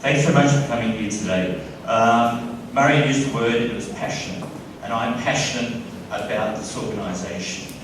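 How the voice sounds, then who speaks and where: A man's voice: medium pitch, normal speed. Earnest man speaking in echoing hall. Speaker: organisation president, speaker. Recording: echoey hall, distant mic, noise.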